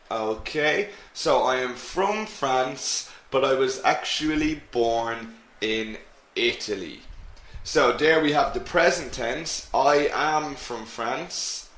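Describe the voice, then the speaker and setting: A man teaching an English grammar lesson to students in a slow, clear way. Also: mid-range voice, fairly bright tone, echoey room.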